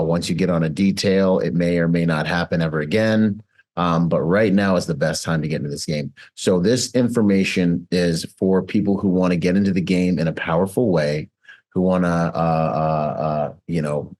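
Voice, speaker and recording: A man in his late thirties giving an energetic, conversational presentation on a video call as host. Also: low voice. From Bahamas, grew up in Washington State. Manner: energetic, conversational, self-promoting.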